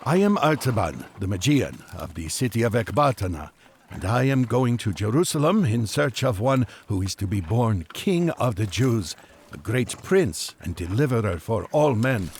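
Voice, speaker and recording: An earnest Magian pilgrim from a biblical audio drama. His low voice moves through very expressive rises and falls, with formal, solemn phrasing. There is faint noise behind him. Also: moderate pace. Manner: solemn, formal, old-fashioned speech. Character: earnest, devout Magian traveler on an urgent quest.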